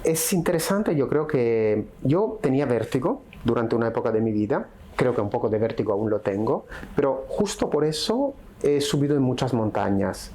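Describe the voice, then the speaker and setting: A middle-aged man from Italy with an Italian accent, a podcast guest speaking in a reflective, unhurried way and often ending thoughts with a question tag. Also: mid-range voice, animated, mellow, slight background noise. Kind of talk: casual conversation.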